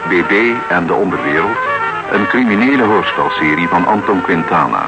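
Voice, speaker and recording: Man, radio credits announcer, lowish pitch, very varied intonation, scripted delivery, loud brass music. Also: lively intonation, moderate pace. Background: prominent brass music.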